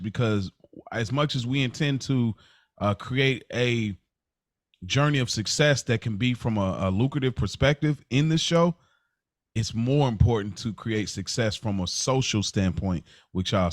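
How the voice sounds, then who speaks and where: A man's voice: medium pitch, no echo, studio quality. An American man chatting casually as a podcast co-host, with an earnest, emphatic, slangy way of talking.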